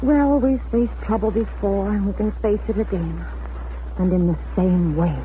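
A woman acts a worried, resolute middle-aged wife and mother in 1940s radio style. Her voice is medium in pitch, heard through a boxy, slightly noisy vintage broadcast recording. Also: moderate pace, phone-quality sound, natural voice. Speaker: actress in a radio play.

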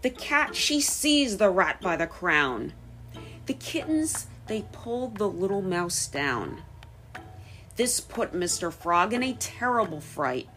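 American lady podcast host reading her script, careful phrasing, pitch moves around a lot, soft music behind. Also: big pitch swings, slow pace. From United States. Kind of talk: scripted narration.

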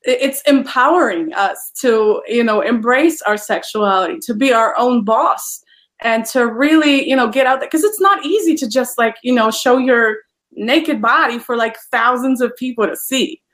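A woman, chatting as an interview guest, full of "you know" fillers and quick to laugh. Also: a little high, a bit bright. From Poland. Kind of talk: casual interview chat.

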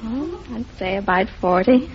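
A woman's voice: on the high side, expressive, measured pace. A woman, giving brief, modest answers as a quiz contestant on old radio. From Belfast, Northern Ireland. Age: 20s. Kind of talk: answering interview questions. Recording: dull old radio recording, faint noise.

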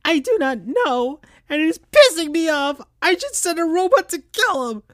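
A man voicing a sad, angry young fox boy in a high strained childish voice while reading a story aloud. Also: animated, a little slow, no room sound, clean studio sound.

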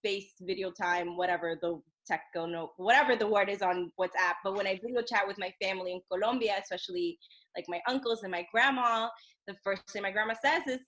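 A young adult woman, a journalist and program director, telling stories in a casual podcast conversation and acting out what others say to her. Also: mid-range voice. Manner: animated, quick to act out quoted relatives and coworkers.

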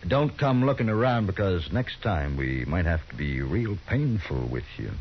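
A man's voice: low voice, sing-song, normal speed. An actor playing a smug male gunman who talks down to a lawman with patronizing threats in a 1950s radio drama. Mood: mocking. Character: smug menacing male gunman leading a gang. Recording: dull hissy 1950s radio broadcast.

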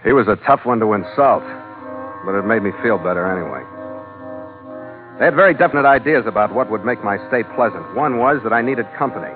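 An actor playing a tough, cynical, wisecracking sea captain with blunt, slangy delivery in an old-time radio drama. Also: male voice, on the low side, expressive, brisk, close to an everyday voice. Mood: wry narration. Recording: tinny old radio broadcast with music behind.

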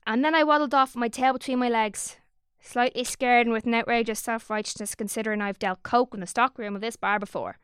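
Young woman telling the story, cheeky and sweary, smooth voice, dry close mic. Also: on the high side, fairly even intonation, brisk, fairly bright tone, no echo. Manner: wry, conspiratorial, sweary. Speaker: narrator. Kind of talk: scripted audio drama narration.

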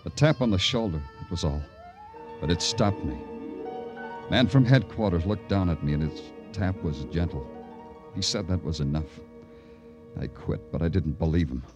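Hard-boiled police detective, lowish voice with lively swings, grim and clipped, vintage radio crime drama with music. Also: male voice, moderate pace, pauses often. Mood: brooding, world-weary. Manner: clipped, world-weary, hard-boiled delivery. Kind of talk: 1940s-50s radio crime drama acting. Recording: old radio broadcast, music underneath.

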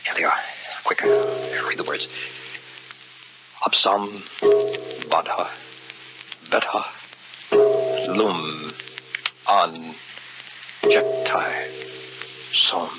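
An actor playing an obsessed, anxious husband who dabbles in the occult, with breathless theatrical delivery in an old radio horror drama. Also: male voice, low voice, steady tone, very slow, long pauses, loud music behind the voice. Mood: anxious, urgent. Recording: muffled old radio recording, clear noise.

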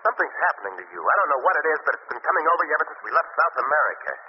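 A man announcing and acting in 1940s radio drama style, dramatic and often shouting. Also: fairly high pitch, very animated, racing. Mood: uneasy. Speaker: announcer and actor. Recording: muffled old radio broadcast with hiss.

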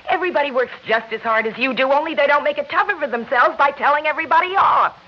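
A woman's voice: high voice, quick pace, close to an everyday voice. A woman acting an exasperated working-class American wife in an old radio drama, with an emotional delivery. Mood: scolding. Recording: dull, noisy old radio recording.

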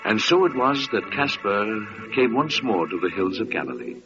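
Low-voiced solemn male radio narrator. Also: big pitch swings, moderate pace, over loud music. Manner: solemn storytelling. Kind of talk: classic radio drama scripted narration. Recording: dull, noisy old radio recording.

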